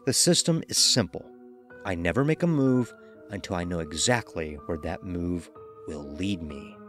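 A man narrating a horror story in the first person with deliberate, dramatic delivery. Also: fairly low pitch.

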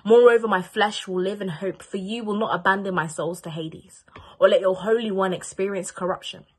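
A woman's voice: medium pitch, steady tone, brisk, a little mellow, husky. A young woman reading scripture aloud, recorded at arm's length in a room at home. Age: young adult.

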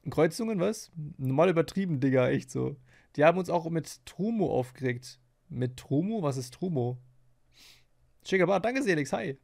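Incredulous streamer guy, very animated. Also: fairly high pitch, moderate pace, gravelly. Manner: slangy, incredulous. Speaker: streamer reacting to a video. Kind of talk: casual live reaction commentary. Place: small home streaming room. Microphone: close boom-arm studio microphone.

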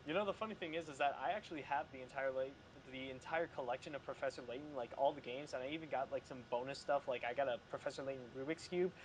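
A chatty, animated man in casual conversation as a podcast panelist. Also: medium pitch, fast talker, few pauses, a little hiss or hum, rough recording. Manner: animated, chatty, fond of acting out examples. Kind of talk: casual chat.

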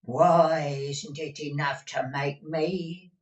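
A man voicing a deaf, blunt, cantankerous old housekeeper woman in a storybook reading, with drawn-out querulous rustic speech. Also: fairly high pitch, fairly even intonation, lo-fi sound, pitched above the performer's own voice. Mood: threatening. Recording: distant, echoey room recording.

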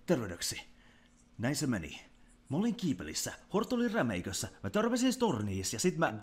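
A man voicing a cocky, flippant young thief who gets exasperated easily, husky and colloquial, in an animated film fan dub. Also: medium pitch. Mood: smug.

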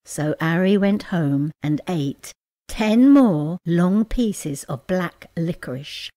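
A woman reading a children's story aloud in an expressive, dramatic and playful way. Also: lowish voice, slow pace. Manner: expressive, counts out words playfully. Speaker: picture-book reader.

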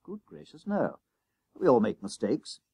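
A man voicing a kindly, calm schoolmaster, measured, courteous and gently wry, in an audiobook story. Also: medium pitch, vocal fry, slightly rough, dry recording, pitched above the performer's own voice, full character voice. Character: kindly, polite, calm middle-aged schoolmaster.

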